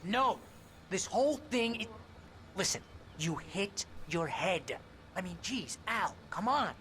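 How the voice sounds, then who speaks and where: A man's voice: high-pitched, sing-song, takes it slowly, bright, forceful tone, heavily acted. An actor playing an exasperated, sceptical best friend in a video game cutscene, wordy and pleading in his disbelief. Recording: noisy game stream capture.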